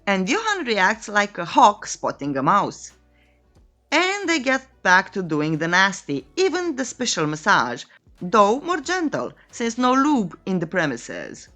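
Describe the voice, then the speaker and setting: A woman's voice: medium pitch, wildly swooping pitch, unhurried, sharp tone, soft background music. A woman reading a scripted story recap as a narrator, in a playful, tongue-in-cheek way. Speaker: narrator of a comic recap channel.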